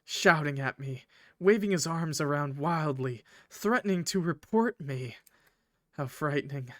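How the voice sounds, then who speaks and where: A man voicing a polite, eloquent male train conductor villain from a visual novel, formal and measured, with quiet menace. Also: fairly high pitch, animated, no room sound, studio quality. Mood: calm, menacing. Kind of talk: visual novel character read aloud.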